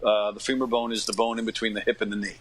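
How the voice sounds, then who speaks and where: An American man in his fifties talking casually as an interview guest, in a rambling, self-effacing, animated way. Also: on the low side, racing, slight background noise. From United States. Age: 50s. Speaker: guest, actor and comedy dinner theater director.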